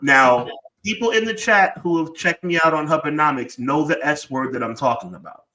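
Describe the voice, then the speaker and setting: Bright-voiced slangy man on a podcast. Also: a little high, lively intonation, normal speed, poor audio. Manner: slangy, rambling, self-censoring banter. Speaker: podcast co-host. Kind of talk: casual live-stream chat.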